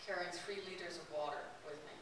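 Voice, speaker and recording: Woman speaker, chatty storyteller, somewhat low voice, distant mic with steady hiss. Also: quick pace. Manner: storytelling, chatty, laughs often. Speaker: speaker giving a talk. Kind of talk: personal travel talk to an audience. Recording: distant, roomy, hissy recording.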